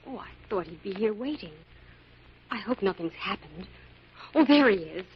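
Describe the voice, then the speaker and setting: An actress playing a loyal, eager detective's assistant, earnest and inquisitive, in 1940s radio style. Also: female voice, medium pitch, expressive, moderate pace, natural voice. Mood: curious, concerned. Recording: muffled, noisy old radio recording.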